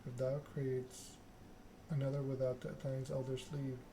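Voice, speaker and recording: A man reads a narrative game aloud as ASMR, hushed and measured. His pitch sits in the middle and moves very little. There is clear noise behind him. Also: flat delivery, poor audio. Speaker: video game player reading the story aloud. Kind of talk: ASMR game read-along, narration with dialogue.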